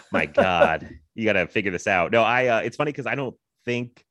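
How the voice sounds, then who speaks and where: A man with an American accent and a fairly low voice, recorded close and dry. He hosts a podcast in a loose, jokey, rambling style of casual conversation. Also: animated, normal speed, fairly bright tone, dry recording. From Virginia, US. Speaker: podcast host. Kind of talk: casual chat.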